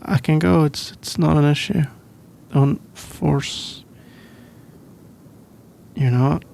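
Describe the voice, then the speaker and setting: Casting: male actor as drowsy young British student boyfriend, easygoing, halting, gravelly, unhurried delivery for intimate ASMR roleplay. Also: fairly high pitch. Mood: tired, reassuring. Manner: hesitant, halting, rambling with soft laughs.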